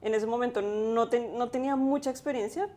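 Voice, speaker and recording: Young woman, mellow voice. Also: fairly high pitch, a little fast. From Colombia. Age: 20s. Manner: thoughtful, with many fillers. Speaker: guest, graphic designer. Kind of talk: interview.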